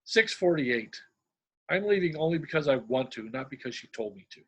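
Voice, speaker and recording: A middle-aged man from Indiana, a humor columnist with a deadpan manner, sharing his work. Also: mid-range voice, fairly quick, breathy edge. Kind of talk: reading a humorous diary-style column, then casual talk.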